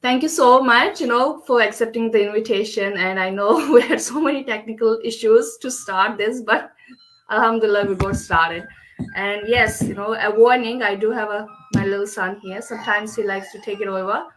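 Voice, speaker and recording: A woman's voice: medium pitch, normal speed, fairly bright tone, soft background music, rough recording. A young woman from Kashmir hosting a casual live-stream interview over a video call, chatty and full of "you know". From Kashmir, India. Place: home, with her small son nearby.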